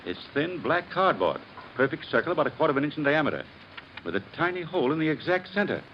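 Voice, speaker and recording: A man's voice: fairly high pitch, sing-song, fast. An American man playing an authoritative doctor in an old radio drama, grave, precise and clinical in his delivery. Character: authoritative male doctor examining a body. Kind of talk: 1940s radio drama acting. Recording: dull, noisy vintage radio recording.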